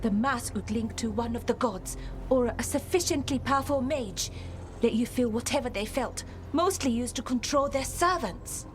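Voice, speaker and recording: A woman's voice: on the high side, normal speed, soft background music, close to an everyday voice. A woman playing a blunt, resolute and knowledgeable elven scout in a fantasy game, with a matter-of-fact delivery. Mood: firm, explanatory.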